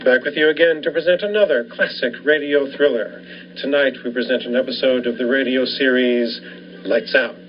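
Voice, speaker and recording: A man's voice: mid-range voice, moderate pace, lightly acted. A man playing an ominous announcer of a 1940s-style horror radio series, dramatic and portentous. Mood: formal. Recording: dull lo-fi recording with faint noise.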